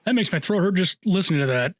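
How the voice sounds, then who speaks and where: A middle-aged American man with a high-pitched voice speaks as a radio DJ. He links songs in an informal, chatty way, with music playing behind him. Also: expressive, quick pace. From Seattle area, United States. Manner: informal, conversational asides. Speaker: radio show host and DJ. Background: music, guitar.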